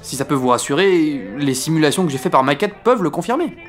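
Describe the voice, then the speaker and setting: A man's voice: fairly high pitch, big pitch swings, fast talker, faint music behind. A man playing an ambitious, indecisive French First World War captain who hesitates and gets defensive. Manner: hesitant, defensive, self-important. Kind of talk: amateur comedy audio drama.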